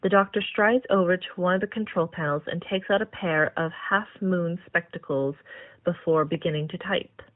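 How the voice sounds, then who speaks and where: A woman's voice: on the low side, steady tone, moderate pace, narrow, tinny audio. A woman reading scripted story narration as the narrator of an audio drama.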